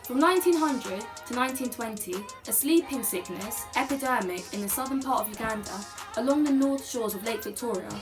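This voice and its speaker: A woman's voice: a little high, animated, a little fast, hardly any pauses, over music, low-quality recording. A young woman reading aloud in a casual chat, stumbling over words and giggling. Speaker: guest co-host.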